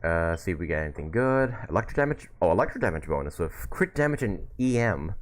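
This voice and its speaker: A man's voice: sits low, sing-song, quick pace. A man live streaming a video game, giving casual, rambling commentary as he thinks aloud.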